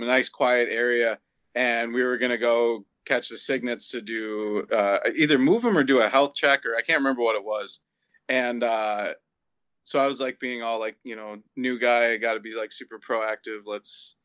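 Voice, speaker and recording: A man in his mid-thirties, a zookeeper guest talking casually in an easygoing, halting way. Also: medium pitch, a bit bright, clean tone, no room sound. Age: 30s. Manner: easygoing, hesitant restarts. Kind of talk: casual interview chat.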